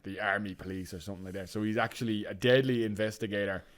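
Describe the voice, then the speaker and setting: A man's voice: on the low side, steady tone, quick pace. Rambling man telling stories. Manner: rambling storyteller, acts out quotes in voices. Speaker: podcast co-host. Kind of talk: casual chat.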